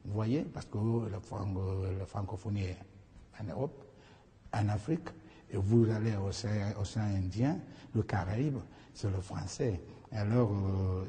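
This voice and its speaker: A middle-aged man from Equatorial Guinea, Fang his first language, answers questions in a slow, halting way, stopping and restarting often. His voice is low and very mellow, and a long hall reverb trails behind it. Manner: halting, many pauses and restarts. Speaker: candidate being interviewed. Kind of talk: interview answers.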